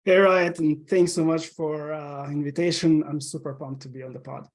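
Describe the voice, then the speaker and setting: Slovenian-accented male guest, 30s, eager, a little high-pitched, lots of ums. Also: steady tone, moderate pace. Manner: eager, with frequent ums and uhs. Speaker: podcast guest. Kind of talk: casual interview chat.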